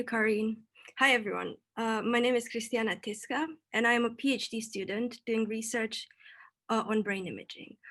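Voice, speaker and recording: A young woman tells a prepared story in a light, playful way. Her voice sits fairly high for a woman, and her delivery is even and practised. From Romania. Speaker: PhD student storyteller. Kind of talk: prepared talk read to an online audience.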